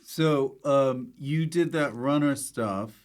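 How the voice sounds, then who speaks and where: Guy hosting a comedy podcast, normal mid pitch, jokey, chatty, chips in a lot. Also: slow pace. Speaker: podcast host. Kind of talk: casual comic interview chat.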